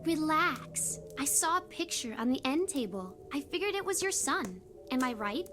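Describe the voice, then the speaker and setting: Casting: teenage runaway girl, extremely high young voice, vulnerable and blunt, screen drama over music. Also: expressive, normal speed. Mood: blunt, probing. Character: scared, blunt fourteen-year-old pregnant runaway girl in labor. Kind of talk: naturalistic screen drama acting.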